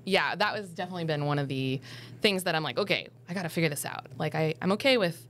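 A woman's voice: low voice, fast, a bit bright, slight background noise. A woman in her thirties, a farm co-founder chatting casually on a podcast, full of fillers, on a studio mic. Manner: chatty, filler-heavy, laughs easily. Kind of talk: casual interview chat. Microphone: studio boom mic.

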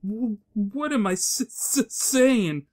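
Timid, heavily stammering young loner. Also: male voice, high-pitched, lively intonation, slow pace, a little mellow. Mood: nervous. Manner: heavy stammer. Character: timid, insecure, lonely young man. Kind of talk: amateur comic-book dub acting.

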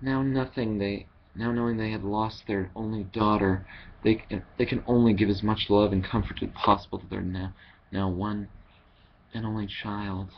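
Young man, amateur voice acting, halting scripted read, dull headset recording, faint background noise. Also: lowish voice, fairly even intonation. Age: young adult. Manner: halting delivery with repeated words. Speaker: amateur voice actor. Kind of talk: reading scripted fandub lines. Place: wood-panelled living room at home. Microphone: headset microphone.

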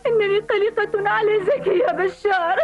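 A woman's voice: extremely high pitch, fairly even intonation, moderate pace. A woman voicing a tender, anxious insect mother in a dubbed cartoon, pleading in manner. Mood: tearful pleading. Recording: dull old TV soundtrack with music.

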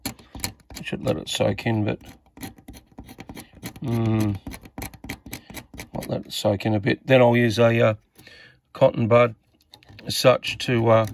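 A man's voice: mid-range voice, little pitch movement, takes it slowly, creaky, pauses often, a little hiss or hum. An Australian man giving a casual, unscripted repair walkthrough, hesitantly thinking aloud as he works. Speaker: radio repair hobbyist presenter.

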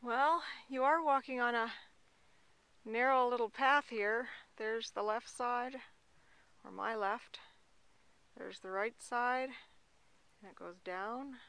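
A woman's voice: on the high side, slow pace, long pauses, fairly bright tone, clear, resonant tone, no echo. A woman vlogging outdoors in a canyon park, casually pointing out the sights to a handheld camera. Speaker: travel vlogger. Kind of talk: filming while hiking.

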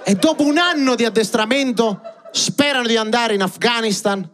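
A man in his thirties performing an animated stand-up set on a club stage into a handheld mic. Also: very high voice, normal speed, slight background noise. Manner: animated, acts out scenes with gestures. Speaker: stand-up comedian. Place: comedy club stage with small audience. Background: audience laughter.

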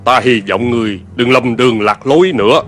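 A man playing a stern, commanding veteran general in an animated war epic, speaking with declamatory authority. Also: medium pitch, brisk, natural voice. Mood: stern, reproachful. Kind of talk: Vietnamese TV dub of an animated historical war epic. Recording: dramatic music behind the voice.

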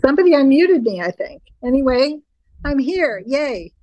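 An older woman with a hoarse voice and a slight cough, offering a heartfelt prayer and chanting a mantra over a video call from home. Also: high-pitched, big pitch swings, moderate pace, warm tone, slight background noise, low-quality recording. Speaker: guest minister. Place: home room, indoors. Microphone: video call, phone held close.

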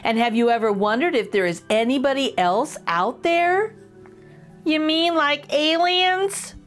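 A middle-aged woman hosting a children's storytime, reading a picture book aloud with expressive, exaggerated intonation. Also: high voice, a little slow, soft background music. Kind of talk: animated read-aloud for young children.

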